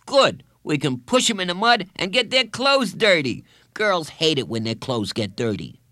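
A man's voice: a little high, sing-song, moderate pace, sharp tone, dry recording, studio quality, voice pushed up. A man voicing a boastful, defiant schoolboy bully with an emphatic, whiny delivery in a children's audiobook. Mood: scheming, eager.

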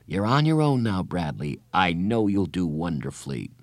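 A man voicing a kind, patient female school counselor in an audiobook, with a gentle, earnest, reassuring manner. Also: low-pitched, very animated, normal speed, dry recording, clean studio sound. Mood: gently firm.

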